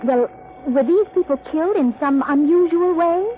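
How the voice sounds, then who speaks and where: A woman's voice: sits high, normal speed, natural voice. An actress playing an anxious, inquisitive young society woman in a 1940s radio crime drama, breathless and refined. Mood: curious. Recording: muffled, noisy old radio recording.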